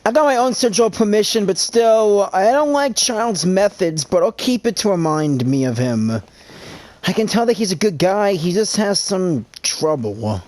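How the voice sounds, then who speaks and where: A woman's voice: mid-range voice, expressive, moderate pace, darkish tone, no echo. A woman giving casual gameplay commentary and reading out dialogue choices as she plays. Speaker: gamer playing through a story quest.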